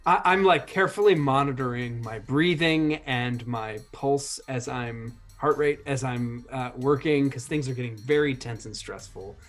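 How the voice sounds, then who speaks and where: A man takes his turn in a tabletop roleplaying game, talking casually and slipping into narration. He is animated and often cuts into his own sentences. His pitch is average, and faint music runs underneath. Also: normal speed, hardly any pauses.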